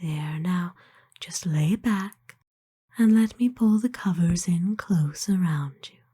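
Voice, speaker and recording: A woman playing a kind, aristocratic vampire in a whispered ASMR roleplay, speaking gently and soothingly with formal, old-fashioned phrasing. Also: fairly low pitch, takes it slowly, heavily acted. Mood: tender, soothing. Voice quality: breathy, hushed whisper. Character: kind, doting, aristocratic female vampire owner.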